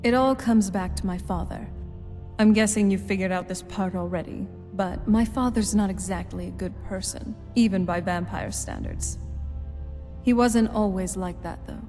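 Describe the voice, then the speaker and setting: A woman's voice: medium pitch, moderate pace, dark tone, close to an everyday voice. An actress playing a guarded, self-assured female vampire in a video game, talking conversationally with dry sarcasm. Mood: wry, confiding. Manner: conversational, dryly sardonic. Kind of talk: video game dialogue acting. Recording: reverberant hall, faint music behind.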